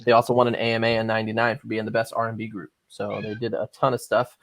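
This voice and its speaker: A man hosting a casual podcast chat, relaxed and full of fillers as he reads out facts. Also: on the low side, fast, creaky. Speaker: podcast host.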